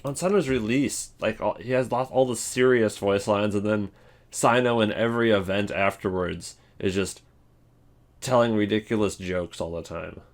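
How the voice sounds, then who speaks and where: Man, lowish pitch, casual game commentary, rambling, halting, opinionated. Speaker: video host.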